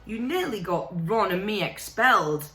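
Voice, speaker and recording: A woman's voice: medium pitch, lively intonation, normal speed, fairly bright tone, echoey room, soft background music, lightly acted. A dry, tired schoolboy, read by a woman. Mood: weary. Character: injured, weary schoolboy.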